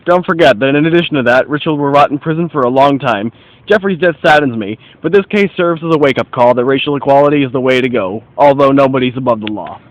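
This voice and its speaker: A man's voice: medium pitch, quick pace, dry recording, dull audio, lightly acted. A man plays a self-assured 1940s detective in a radio mystery. He speaks formally, as if teaching his partner, and the sound is close and dry. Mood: reflective. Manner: formal, didactic, declarative phrasing. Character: confident, observant male detective in 1940s America. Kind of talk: amateur internet radio play acting.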